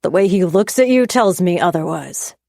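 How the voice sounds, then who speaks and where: A woman voicing a young man, an angry and jealous boyfriend, who talks in raised, sharp and scornful lines. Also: on the low side, expressive, moderate pace, vocal fry, no echo, clean studio sound.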